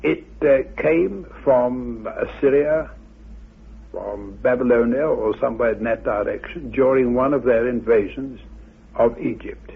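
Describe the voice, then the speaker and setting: A man with a very husky, gravelly voice explains his subject like an expert. He is formal, precise and measured, on a narrow, muffled radio recording. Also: medium pitch. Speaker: expert commentator. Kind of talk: explanatory talk.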